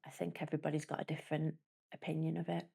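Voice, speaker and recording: A woman's voice: sits low, completely flat delivery, racing, vocal fry, warm tone, slightly rough. A woman, speaking nervously and hesitantly in an interview. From Preston. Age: 30s.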